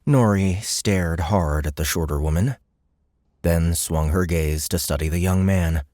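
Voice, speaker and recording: Deep-voiced male audiobook narrator. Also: measured pace, dark tone, no echo, studio quality. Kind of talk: scripted fiction narration.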